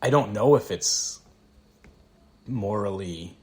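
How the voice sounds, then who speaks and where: Deep voiced guy co-hosting a podcast, laid back, laughs easy. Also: takes it slowly, dark tone. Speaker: podcast co-host. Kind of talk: casual conversation.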